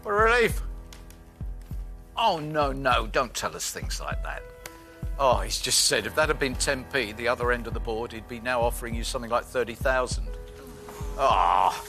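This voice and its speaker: A man's voice: mid-range voice, big pitch swings, normal speed, sharp tone. A British man hosting a game show, effusive and full of praise for the contestant. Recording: studio with music and audience.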